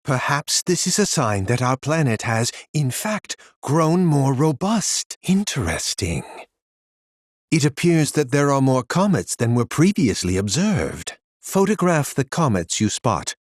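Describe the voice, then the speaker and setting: A man's voice: mid-range voice, very animated, measured pace, no echo, clean studio sound. A man playing a solemn scholarly stargazer in a video game, speaking formally and portentously. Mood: solemn pondering. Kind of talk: video game character acting.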